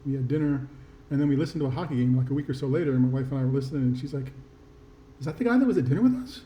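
A man's voice: mid-range voice, very fast, dull audio. A man co-hosting a podcast, telling an anecdote in a casual, conversational style. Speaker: podcast co-host. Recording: roomy echo, faint noise.

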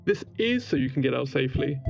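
A male spaceship captain in a science-fiction radio play, loyal and playful. He talks at a medium pitch in a jokey, mock-formal way, with light music behind him. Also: sing-song, quick pace, vocal fry, a little mellow, dull audio. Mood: reassuring, wry. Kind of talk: sci-fi audio drama acting.

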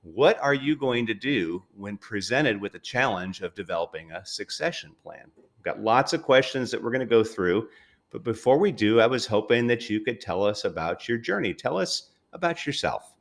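A man's voice: lowish voice, animated, normal speed, creaky. A man hosting an interview in casual chat, with an informal, jokey way of talking. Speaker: interview host. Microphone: online call.

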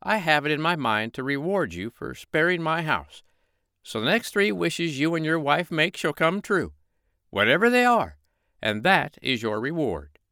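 A man voicing a hot-tempered little old male fairy with a long beard, in a storybook reading, pitched far above his own voice. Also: big pitch swings, moderate pace, no room sound, clean studio sound, full character voice. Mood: grandly generous. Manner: shrill, sharp-tongued.

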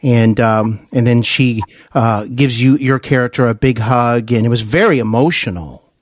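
A man hosting a podcast interview, speaking in a deferential, admiring and halting conversational way. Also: mid-range voice, no room sound, studio quality.

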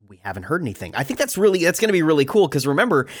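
A man co-hosting a fan podcast in casual chat, animated and chatty, with lots of fillers. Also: a little high, racing. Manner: animated, many fillers, laughs easily. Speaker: podcast co-host.

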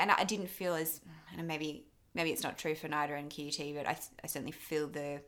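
A young Australian woman speaks in a low register with plenty of vocal fry. She talks casually as an interview guest, candid and a little rambling, often qualifying or talking herself down. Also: a bit bright. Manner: candid, self-deprecating, rambling. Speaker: interview guest, actor and filmmaker.

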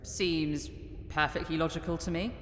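A woman's voice: sits low, fairly even intonation, moderate pace, a bit bright, slight background noise. A woman playing a confident journalist in a science fiction audio drama, dry, self-assured and probing.

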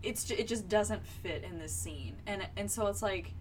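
A woman co-hosting a book podcast, casually analysing what she reads and halting as she explains. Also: medium pitch. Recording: distant mic with background noise.

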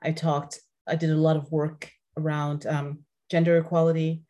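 A low-voiced woman who grew up speaking French answers questions as a podcast guest. She talks earnestly and steadily, now and then restarting a phrase. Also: little pitch movement, moderate pace, dark tone. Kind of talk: casual interview conversation.

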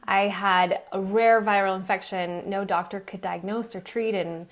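A woman's voice: medium pitch, fairly even intonation, moderate pace. A woman guest on a podcast, telling her life story in an earnest, emphatic way. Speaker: guest, mind coach. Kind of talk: interview storytelling.

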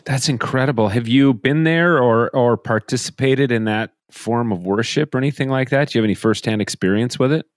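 A man's voice: medium pitch, no room sound, clean studio sound. Curious male podcast host. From family from southwestern Minnesota. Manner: curious, eager, quick to react. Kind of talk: conversational interviewing.

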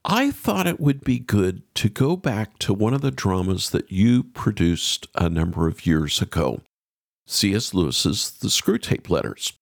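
A man's voice: fairly low pitch, big pitch swings, measured pace, vocal fry, no room sound, clean studio sound. An American man hosting a radio interview programme, introducing things and putting questions to a guest.

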